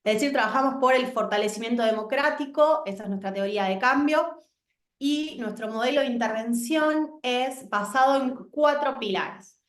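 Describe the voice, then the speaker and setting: A woman's voice: a little high, moderate pace, reverberant. A woman from Argentina, a guest speaker presenting her organization on a video call in a composed, explanatory way.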